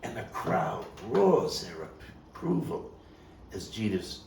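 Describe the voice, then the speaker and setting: A man performing a theatrical stage monologue as a streetwise, nostalgic Brooklyn baseball fan, telling it with deliberate pauses and big swings in volume. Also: lowish voice, sing-song, creaky, a little mellow, full character voice. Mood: dramatic storytelling. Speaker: actor and playwright performing his own monologue. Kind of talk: dramatic stage monologue at a table read. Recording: roomy, distant mic with faint noise.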